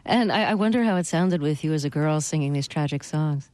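A woman interviewer asking measured, courteous questions in a radio interview. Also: sits low, a little mellow, dry recording, low-quality recording.

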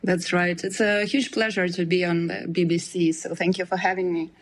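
A woman from Ukraine, guesting on a radio interview over a remote line. She talks earnestly with a slightly low voice and occasional hesitations. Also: flat delivery, moderate pace. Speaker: author and guest.

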